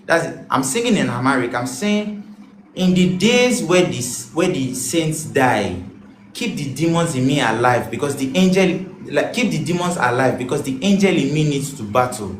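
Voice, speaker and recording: Singer guy chatting away, highish voice, lively and singy, echoey room. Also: moderate pace, a little hiss or hum. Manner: animated, bursts into song mid-sentence. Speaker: singer-songwriter guest. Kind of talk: casual chat. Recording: roomy echo.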